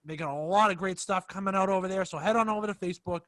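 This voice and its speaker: High-voiced young man hosting a podcast. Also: very fast, fairly bright tone, no room sound. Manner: laid-back, addresses the others as fellas, reads sponsor spots. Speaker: podcast host. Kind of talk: casual sports podcast chat.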